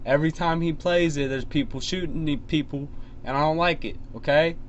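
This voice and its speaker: A man's voice: on the high side, brisk, close to an everyday voice. A man playing an annoyed, clueless father on a prank phone call, asking insistently and repeating himself. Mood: indignant. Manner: insistent, repetitive questioning. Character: annoyed, clueless father fretting over his son's game. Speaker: prank caller. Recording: dull, lo-fi phone call with faint noise.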